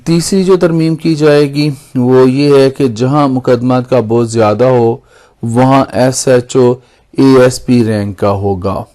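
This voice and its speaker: Mellow, even-toned Pakistani man explaining. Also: medium pitch, slow pace. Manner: formal, repeats and rephrases points. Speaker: lawyer presenting legal explainer videos. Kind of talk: explanatory lesson, semi-scripted.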